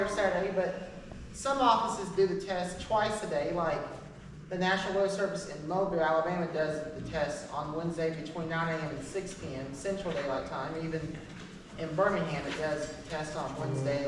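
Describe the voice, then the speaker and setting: A woman giving an informal class, reading from a paper in a halting, list-like way. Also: on the low side. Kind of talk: informal presentation read from a paper. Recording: echoey hall from far off, noisy.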